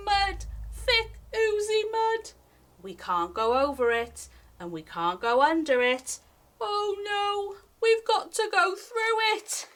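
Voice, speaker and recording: A woman with a high voice reads a picture book to young children. Her delivery is slow and singsong, with big swoops in pitch. She talks straight to the little listeners in an expressive storytime style. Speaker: library storytime reader. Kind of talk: animated children's picture book read-aloud.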